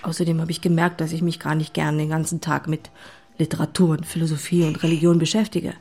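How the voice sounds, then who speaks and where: A woman's voice: low-pitched, flat delivery, quick pace, mellow, husky. An actress playing a practical, matter-of-fact woman, the exasperated best friend, in radio play style. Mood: defensive, annoyed. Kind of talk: German radio play acting. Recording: music underneath.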